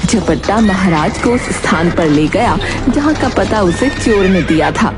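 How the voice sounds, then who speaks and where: A woman narrating a children's cartoon story in an even storytelling manner. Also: medium pitch, unhurried, over loud music.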